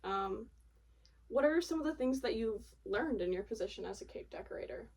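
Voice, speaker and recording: Young woman podcast host, voice a bit high, casual agreeable chat, little far from the mic. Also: lively intonation, a little fast, darkish tone, breathy edge. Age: young adult. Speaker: podcast host interviewing a friend. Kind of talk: casual conversational interview.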